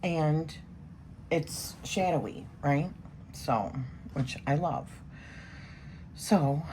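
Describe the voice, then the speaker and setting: Very low-voiced chatty woman reading tarot. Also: darkish tone, a little hiss or hum. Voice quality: strong voice. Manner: chatty, rambling with many fillers. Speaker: tarot reader. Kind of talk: casual spoken tarot session.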